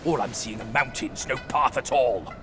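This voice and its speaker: A man voicing a hot-tempered, boastful pig warrior in a video game, gruff and theatrically scornful. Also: medium pitch, moderate pace, music in the background, natural voice. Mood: furious, blustering. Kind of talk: dramatic video game cutscene acting.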